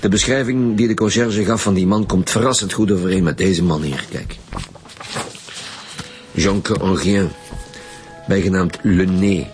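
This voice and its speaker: An actor playing a wry, pressing police detective in a naturalistic radio drama, speaking with a Flemish accent. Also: male voice, deep voice, fairly even intonation, unhurried, pauses often, faint music behind. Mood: matter-of-fact, wry. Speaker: actor in a radio crime serial.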